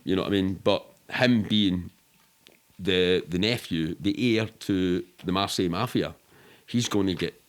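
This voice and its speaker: A man's voice: deep voice, measured pace. A middle-aged Scottish man telling anecdotes casually in a colloquial, rambling, sweary way, as an interview guest.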